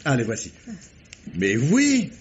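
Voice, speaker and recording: An actor playing a pedantic, fussy, apologetic scholar who stammers a little, in a vintage French radio mystery. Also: male voice, medium pitch, huge pitch swings, takes it slowly. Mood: absorbed, lecturing. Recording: dull, slightly noisy vintage radio.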